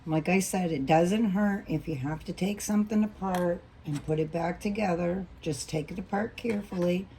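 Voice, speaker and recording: A woman teaching a quilting block as she sews, patient and thinking aloud, a little hoarse as she loses her voice. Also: on the low side, normal speed, hardly any pauses, dark tone, a little hiss or hum, low-quality recording. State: losing her voice, coughing. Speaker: quilting instructor. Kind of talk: relaxed hands-on sewing tutorial.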